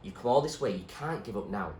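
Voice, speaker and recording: A man's voice: fairly low pitch, expressive, fast, a little mellow, pitched below the performer's own voice, lightly acted. A man playing an eager, loyal boy in a storybook reading, coaxing a friend in a matter-of-fact way. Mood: encouraging. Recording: echoey room with clear background noise.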